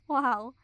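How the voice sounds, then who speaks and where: A woman's voice: high-pitched, fairly bright tone, no echo, exaggerated voice. A young woman playing a smug, mocking school bully girl who teases with a hint of care, in an intimate ASMR roleplay. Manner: mocking, teasing, giggly. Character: teasing, smug school bully girl, secretly a little caring. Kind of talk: ASMR girlfriend roleplay, intimate one-sided dialogue.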